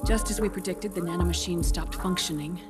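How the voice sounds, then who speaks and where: A woman performs a compassionate scientist in a dramatic video game. Her voice is a little low, with solemn, carefully measured phrasing and quiet intensity. Music plays clearly behind her. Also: lightly acted. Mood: grave, explanatory.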